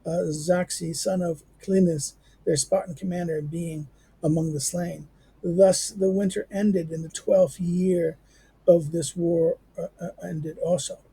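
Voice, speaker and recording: A hoarse man, a teacher, reading a history text aloud in a halting way with many fillers and restarts, then adding his own remarks. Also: high-pitched, dark tone, poor audio. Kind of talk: halting read-aloud with commentary.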